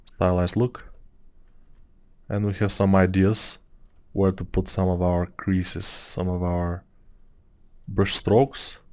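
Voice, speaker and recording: A man's voice: deep voice, little pitch movement, takes it slowly, pauses often, darkish tone. A man in his thirties from Bulgaria, with a Bulgarian accent, talking through a 3D sculpting tutorial in a rambling, musing way with many fillers. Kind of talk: casual tutorial talk over screen work.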